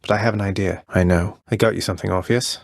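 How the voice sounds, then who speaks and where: A man playing a young underworld prince in a video game, friendly, courteous and gently wry. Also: sits low, steady tone, quick pace, gravelly, no echo, clean studio sound. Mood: warm, encouraging.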